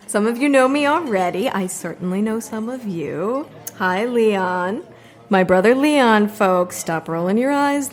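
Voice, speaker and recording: A woman playing a young adult performer giving a chatty, self-deprecating open mic monologue. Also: a little high. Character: wry young woman doing a stage monologue.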